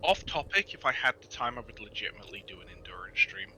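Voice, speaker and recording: A man's voice: mid-range voice, sing-song, fast talker, sharp tone, slight background noise. A man from Britain chatting casually and animatedly on a live stream.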